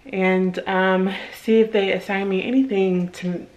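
A woman's voice: mid-range voice, steady tone, measured pace, darkish tone, poor audio. A young woman talking breezily to a handheld camera at home, chatting casually and laughing often. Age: young adult. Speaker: vlogger. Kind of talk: casual vlog chat to camera. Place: home kitchen and bedroom indoors. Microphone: handheld camera at arm's length.

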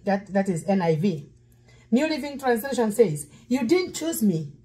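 A woman's voice: mid-range voice, sing-song, normal speed, warm tone, slightly rough. Lady pastor, preachy, sings bits, recorded on a camera across the kitchen counter. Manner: preachy, breaks into song. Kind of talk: cooking demo sermon. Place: home kitchen. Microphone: fixed camera across counter.

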